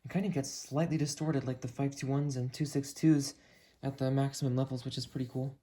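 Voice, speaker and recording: A man narrates a hands-on demonstration in a casual, unhurried way, thinking aloud as he tests things. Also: medium pitch, little pitch movement, warm tone. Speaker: video creator demonstrating a weather radio.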